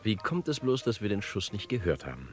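Low, brisk male policeman. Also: sing-song, soft background music. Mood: matter-of-fact, puzzled. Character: matter-of-fact male police colleague reporting at crime scene. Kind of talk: German crime radio play acting.

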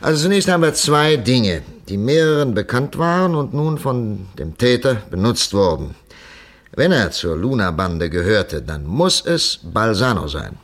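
An actor playing a brisk, commanding police commissioner in a 1950s German radio crime drama, thinking aloud and giving short orders. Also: male voice, mid-range voice, big pitch swings. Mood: pondering. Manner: deliberating aloud, then snapping out short orders. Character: brisk commanding male police commissioner leading a murder case.

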